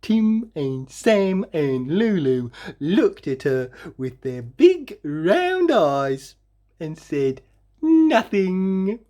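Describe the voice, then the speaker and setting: A woman reading a children's story aloud expressively, with dramatic emphasis and drawn-out words. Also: lowish voice, very animated, dark tone. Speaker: storybook reader.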